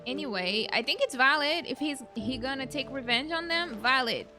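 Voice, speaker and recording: A woman's voice: high-pitched, expressive, moderate pace, over music. A woman streamer giving casual, blunt, off-the-cuff reactions while playing a video game. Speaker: gaming streamer. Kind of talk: casual let's play reaction commentary.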